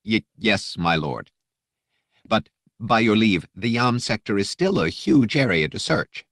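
A man's voice: on the low side, animated, measured pace, fairly bright tone, no room sound, studio quality, pitched above the performer's own voice. A man voicing a nervous, servile alien aide in an audiobook, hesitant and deferential, prone to stammering. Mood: fearful, deferential. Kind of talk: audiobook character voice.

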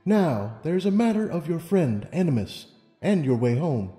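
A man plays a stately pony monarch from a storybook. The voice is mellow and deliberate, calm and wise, gently probing as it goes. It carries in a long, echoing hall reverb. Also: on the high side, faint music behind, voice pushed up. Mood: gentle, stately. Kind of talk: audiobook character reading.

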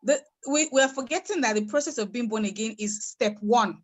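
Female panelist, thirties, higher-pitched, conversational and emphatic, phone video-call setting. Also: fairly quick. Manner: emphatic, asks rhetorical questions. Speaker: panelist at a church youth congress. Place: room at home, video call.

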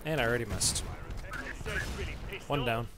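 A man's voice: mid-range voice, unhurried, very noisy. A man co-hosting a let's-play, chatting casually with mock-zealous jokes while he plays. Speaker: gaming channel co-host.

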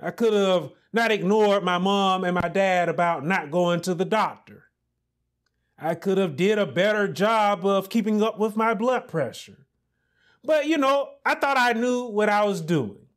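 A man in his thirties preaching a sermon as pastor, building to emphatic repeated lines with deliberate pauses, recorded on a lapel mic in a small church room. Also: high voice. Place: small indoor church office set with pulpit.

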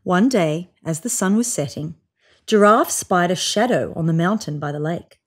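A woman in her thirties reading a children's picture book aloud on a sofa, with voiced sound effects and hushed whispers. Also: lowish voice, normal speed, breathy edge. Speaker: storybook reader. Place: indoors on a sofa.

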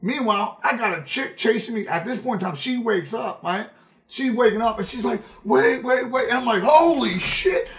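A man in his thirties telling a casual, profane story as a game streamer, in an animated, emphatic way, repeating phrases for effect. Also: extremely high pitch, moderate pace. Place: indoor room at a streaming setup.

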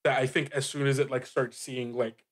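A man improvising narration as a game master, casual and halting, with lots of filler words. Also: medium pitch, level tone. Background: music bed.

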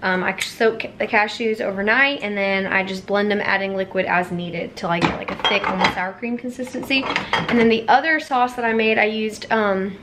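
A woman's voice: mid-range voice, normal speed, background noise. A woman in her thirties talking casually to the camera as a mother making a home vlog. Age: 30s. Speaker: vlogger and mother. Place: home kitchen and outdoor back porch. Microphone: camera at arm's length.

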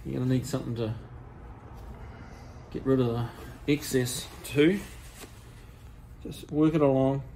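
A man in his sixties with a New Zealand accent, showing car restoration work in a workshop in a relaxed, practical, plain-spoken way. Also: mid-range voice, measured pace, creaky, pauses often, slight background noise, rough recording. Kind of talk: casual how-to demonstration. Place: large car workshop, indoors.

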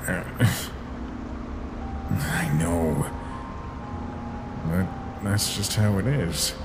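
A man voicing a weary, gentle skeleton monster in a comic dub, speaking in a halting, resigned way. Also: deep voice, over loud music. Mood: somber, resigned. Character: weary, guilt-ridden male skeleton monster, protective and gentle. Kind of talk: dramatic comic dub voice acting.

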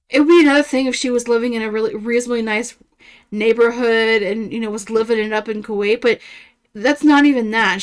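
A woman in her thirties giving casual reaction commentary in a blunt, sarcastic and profane way. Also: on the high side. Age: 30s.

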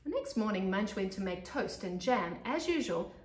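A South African woman in her thirties reading a children's story with expressive, deliberate emphasis, like a teacher at storytime. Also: mid-range voice. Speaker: pre-primary teacher. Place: living room on a couch by large windows. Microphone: camera a couple of metres away. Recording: echoey room, camera mic.

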